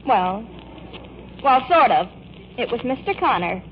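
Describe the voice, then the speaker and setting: An actress playing an eager, blunt, tattling little sister in a 1940s radio comedy, with a childlike, artless manner. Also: female voice, on the high side, sing-song, a little slow. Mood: eager, teasing. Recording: muffled, hissy old radio broadcast.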